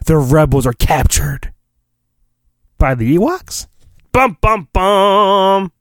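A man's voice: high voice, expressive, slow, a bit bright, no room sound, studio quality. A man hosting solo, reading a storybook in mock-dramatic fashion with rambling, sarcastic asides. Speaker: solo podcast host.

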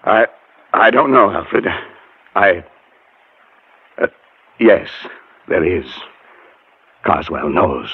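An actor playing a haunted, fearful man who tells his story in a dramatic, deliberate 1940s radio style. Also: low-pitched, big pitch swings, slightly rough, dry recording, heavily acted. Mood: rattled, anxious. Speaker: narrator and lead actor. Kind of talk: 1940s radio horror acting. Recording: muffled 1940s radio recording, music behind.

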